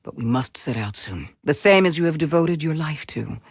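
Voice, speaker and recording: A woman playing a wise, resolute Jedi mentor and resistance leader in a video game, speaking in a measured, solemn, commanding way. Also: low-pitched, lively intonation, husky, dry recording, studio quality, exaggerated voice. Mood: calm, grave. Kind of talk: dramatic video game character acting.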